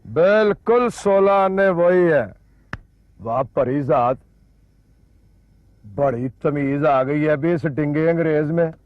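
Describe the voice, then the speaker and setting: A working-class cart driver with a Punjabi accent, cocky and full of hope. He muses aloud at a slow, drawn-out pace with sardonic swagger, in television drama style, on slightly dull lo-fi film sound. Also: a little high, dry recording. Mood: sardonic, triumphant. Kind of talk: colloquial television drama acting, musing aloud.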